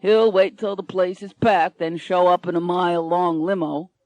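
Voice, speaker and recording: A woman's voice: fairly low pitch, steady tone, moderate pace, a little mellow, no echo, close to an everyday voice. A woman voicing a friendly male security guard with an easygoing, teasing manner.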